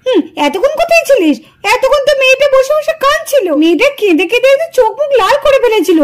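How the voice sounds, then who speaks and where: A woman's voice: extremely high pitch, sing-song, quick pace. A woman voicing a doting ghost aunt in a doll story, cooing and scolding by turns.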